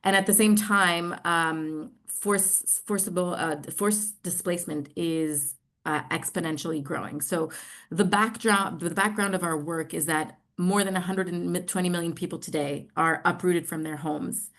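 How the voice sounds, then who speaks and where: A middle-aged woman with an American accent and a slightly low voice. She presents to an online group in a halting way, pausing often and stretching out words as she explains. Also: steady tone, a bit bright. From New York, United States. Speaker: social enterprise representative presenting.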